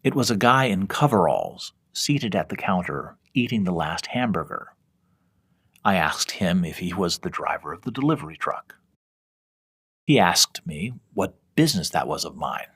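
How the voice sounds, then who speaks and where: Very low-pitched man narrating horror fiction. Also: fairly bright tone, slightly rough. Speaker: author reading own horror fiction podcast. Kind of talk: scripted first-person horror narration.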